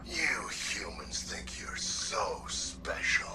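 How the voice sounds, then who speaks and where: An actor playing a snide, cynical little machine sidekick in a video game, brash, sarcastic and mocking. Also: male voice, fairly low pitch, very animated, extremely slow, in a whisper, over loud music, heavily acted. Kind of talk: video game character dialogue. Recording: distant, with loud game noise behind.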